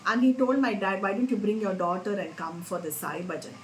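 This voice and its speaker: A woman's voice: mid-range voice, fairly even intonation, brisk, echoey room, slight background noise, rough recording. A woman with an Indian accent answering interview questions conversationally, stressing her points by repeating words. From Singapore and Bombay, India. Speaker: interview guest, Carnatic singer.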